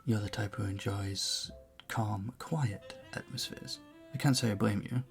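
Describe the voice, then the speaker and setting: A charming male vampire bartender, acted by a man, with a fairly low voice that has a gravelly edge. He is suave and playfully flirtatious, talking closely to a single customer in an audio roleplay over faint music. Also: steady tone, a little slow. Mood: friendly, curious. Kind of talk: intimate scripted roleplay, speaking to the listener.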